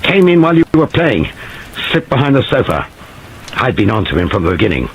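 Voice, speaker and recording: A man voicing a brisk middle-aged police inspector who talks in short, clipped bursts. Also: deep voice, creaky, slight background noise. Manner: clipped, short explosive sentences.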